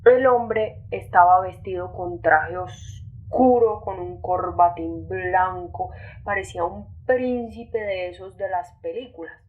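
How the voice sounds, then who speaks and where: A woman's voice: lowish voice, slow. An awed woman played by an actress tells of a night street encounter as a radio-drama monologue. The sound is thin and narrow, like a phone line. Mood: awed storytelling.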